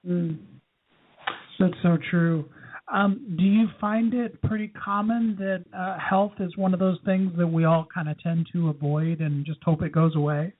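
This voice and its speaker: The high, husky-voiced man who hosts a small online talk show, chatting casually with guests over what sounds like a phone line. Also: fairly even intonation, a little slow, a little hiss or hum. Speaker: interview show host. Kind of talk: casual interview chat.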